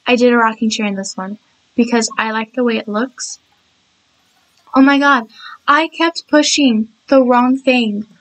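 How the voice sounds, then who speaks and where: A woman's voice: fairly high pitch, rough recording. A young woman with a sore, cracking throat, rambling chattily and giggling through a voiceover of her own game building. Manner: chatty, giggly, apologetic, many restarts. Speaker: speed build narrator.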